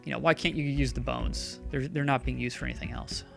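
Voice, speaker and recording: A man's voice: medium pitch, fairly even intonation, a little fast, vocal fry, a bit bright, faint music behind. A man streaming a video game, chatting loosely and sarcastically talking back to the characters on screen. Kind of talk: rambling live-stream commentary.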